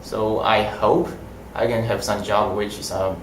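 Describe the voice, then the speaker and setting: A man's voice: lowish voice, little pitch movement, a little hiss or hum. Young Mandarin-native man, echoey room. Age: young adult. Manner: halting, simple phrasing. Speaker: language student. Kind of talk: casual interview. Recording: roomy echo.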